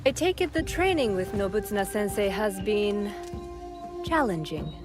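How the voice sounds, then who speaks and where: A woman's voice: medium pitch, lively intonation, normal speed, close to an everyday voice. An actress playing a gracious, composed noble lady who teaches, speaking formally and with measure in a video game cutscene. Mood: probing. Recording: faint game music behind.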